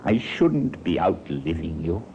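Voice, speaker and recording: A man's voice: sits low, sing-song, measured pace. An actor playing a blunt, suspicious man of seventy-five in a 1950s radio drama. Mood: suspicious, stern. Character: gruff, suspicious seventy-five-year-old father. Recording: muffled, noisy old radio recording.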